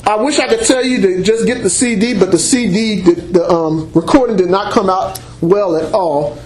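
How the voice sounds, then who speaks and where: High-voiced preacher in an echoey room. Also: male voice, normal speed, a little hiss or hum. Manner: loud and emphatic, with call-and-response exclamations like praise and amen. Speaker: preacher and Bible teacher. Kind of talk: sermon-style teaching to a congregation. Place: church meeting room before a congregation. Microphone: unamplified voice picked up by a room mic.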